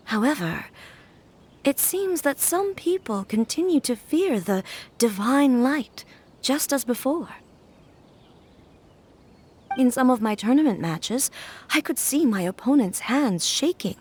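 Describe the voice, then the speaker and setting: Regal thunder goddess: fairly high, expressive, formal, composed, earnest; game dialogue over music. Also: female voice, slight background noise. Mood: reflective. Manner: formal, composed, measured phrasing. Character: dignified, regal female thunder deity ruler, earnest. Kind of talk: anime game voice acting.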